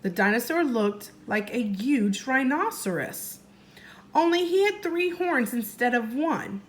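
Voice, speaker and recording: A woman teacher reading a story aloud to young children in an expressive way, pausing to explain and ask questions. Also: on the high side. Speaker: first grade teacher.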